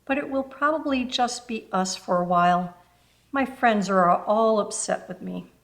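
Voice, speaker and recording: A woman's voice: medium pitch, a little slow, a bit bright. A woman voicing a shy, polite, self-doubting young girl in a children's storybook, hesitant and deferential. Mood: guilty, downcast. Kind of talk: children's storybook read-aloud.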